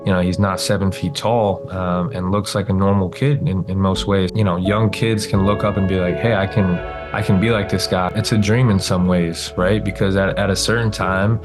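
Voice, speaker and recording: A man's voice: sits low, flat delivery, moderate pace. A middle-aged man talking reflectively in a documentary interview, with "you know" fillers. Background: guitar music.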